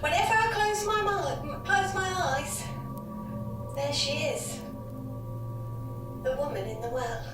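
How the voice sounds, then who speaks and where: An actress playing a distraught, haunted mother in an audio drama, halting and tearful as she speaks. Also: high voice. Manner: halting, broken sentences, tearful. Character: distraught haunted mother, shaken by a ghostly face. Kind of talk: audio drama, old taped interview. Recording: echoey distant tape with music over it.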